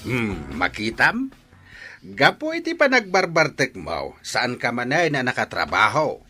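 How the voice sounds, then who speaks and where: A man's voice: medium pitch, huge pitch swings, unhurried, sharp tone, soft background music, heavily acted. A man with an Ilocano accent playing an exasperated, scolding older father in an audio drama, reproachful and emphatic.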